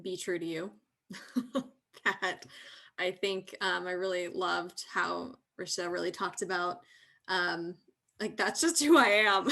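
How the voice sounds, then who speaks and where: A woman's voice: medium pitch, sharp tone. A woman teacher on a casual panel who speaks hesitantly and searches for her words.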